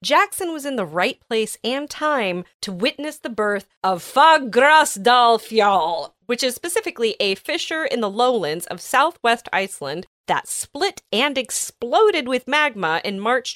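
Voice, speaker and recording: A woman's voice: medium pitch, fairly bright tone, no room sound, clean studio sound. A woman co-hosting a podcast, reading science news aloud and explaining it with everyday examples. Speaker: podcast co-host.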